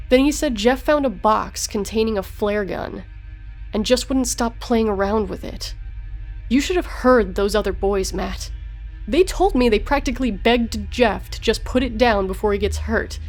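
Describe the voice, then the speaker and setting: A woman's voice: mid-range voice, normal speed, darkish tone, faint music behind, close to an everyday voice, poor audio. A woman playing an exasperated, complaining suburban mother in an audio drama. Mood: exasperated, indignant. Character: exasperated suburban mother, upset about her son.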